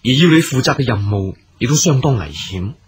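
A male actor playing a grave, deliberate army commander in a period radio drama, speaking formal, measured Hong Kong Cantonese. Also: mid-range voice, animated, slightly rough. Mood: confiding, earnest. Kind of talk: theatrical radio drama acting.

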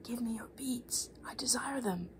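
A covetous storybook goblin, read by a woman, pleads and yearns in a soft, breathy voice. The demands are insistent, over a faint room hiss. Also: a little high, measured pace, pitched above the performer's own voice, close to an everyday voice. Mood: pleading. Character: pleading, covetous goblin howling for glass beads.

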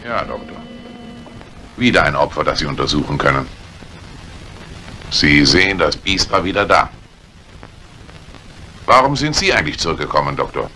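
An actor playing a stern, suspicious police inspector in a 1950s German radio crime drama, probing and sardonic. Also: male voice, rumbling low, animated, moderate pace, pauses often, sharp tone, heavily acted, rough recording. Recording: old radio play recording with faint hiss.

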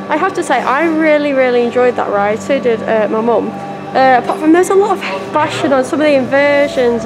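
High-voiced, slightly hoarse teen vlogger. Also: female voice. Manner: chatty, enthusiastic, asks companion questions. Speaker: theme park vlogger. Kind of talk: casual vlog commentary. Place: outdoor theme park, queues and walkways. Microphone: phone camera held at arm's length. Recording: loud music and crowd noise at a theme park.

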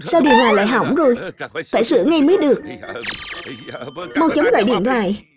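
An eager, hapless cartoon dad voiced by a woman with a high-pitched voice. He exclaims and encourages, easily flustered. Music plays behind him. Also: animated, fairly quick. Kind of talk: animated cartoon dub.

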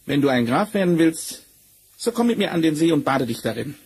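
A man's voice: on the high side, lively intonation, fairly quick, darkish tone, husky, soft background music, full character voice. A man playing a sly, bossy talking tomcat in a fairy-tale radio play, theatrical and wheedling. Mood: sly, confident. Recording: noisy vinyl record transfer.

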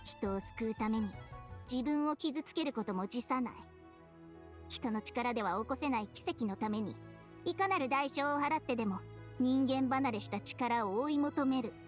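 A wise, blunt female snake companion, voiced by an actress, talks frankly and knowingly. The voice is high, in video game style, with music behind it. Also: moderate pace, lightly acted. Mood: somber, musing. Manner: knowing, frank, casual speech. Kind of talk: Japanese video game voice acting. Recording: studio voice over game music. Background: background music.

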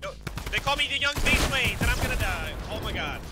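Animated fast-talking young man in game chat. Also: extremely high pitch. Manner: animated, slangy, encouraging. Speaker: online gaming teammate.

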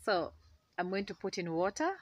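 A young Ugandan woman with a Ugandan accent, chatting excitedly to a phone camera in her outdoor garden. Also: on the low side, normal speed. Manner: excitable, chatty, laughs often. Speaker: gardening vlogger. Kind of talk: casual chat to camera. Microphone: phone camera held close.

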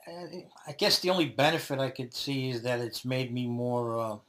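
A middle-aged man from Brooklyn with a Brooklyn New York accent, answering interview questions thoughtfully, pausing and restarting mid-sentence. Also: medium pitch, steady tone, a bit bright.

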